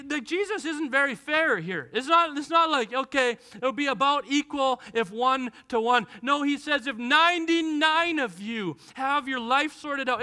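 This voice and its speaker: Bright, high-voiced man preaching a sermon. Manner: animated, gestures widely and acts out voices from the text. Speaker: pastor. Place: large church stage with a lectern.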